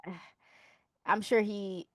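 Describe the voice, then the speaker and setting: A woman's voice: mid-range voice, fairly even intonation, slow pace, a bit bright, no room sound. A woman co-hosting a podcast, giving frank, blunt opinions in a casual book discussion. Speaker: podcast co-host.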